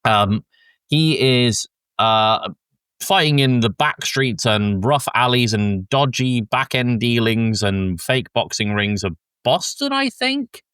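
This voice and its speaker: A man's voice: medium pitch, expressive, slow, fairly bright tone, clean tone, no room sound. A man hosting a podcast with casual film discussion while a bit sick. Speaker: podcast host.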